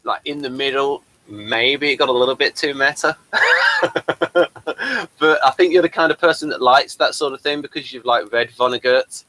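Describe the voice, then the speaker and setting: A man's voice: mid-range voice, normal speed, pushed and bright, rough recording. A man hosting a casual interview, rambling and chatty and addressing viewers as folks. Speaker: host and playwright.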